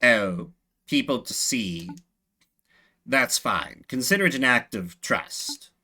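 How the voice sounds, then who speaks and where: A man's voice: medium pitch, animated, sharp tone, pitched below the performer's own voice, full character voice. A man voicing a very old, dying rat plague master in a video game, grave, scholarly and formal in manner. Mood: measured, persuasive. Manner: slow, solemn, formal. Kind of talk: voiced story video game dialogue.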